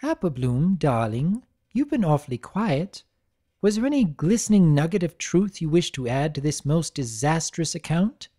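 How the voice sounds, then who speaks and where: A prissy, grandly spoken pony lady, put on by a woman reading a storybook aloud. She lifts her voice well above the narration and swoops through ornate phrases with a lively, musical lilt. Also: sits low, normal speed, voice pushed up. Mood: probing. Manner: posh, elaborate, dramatic diction. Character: prim theatrical adult mare fashionista.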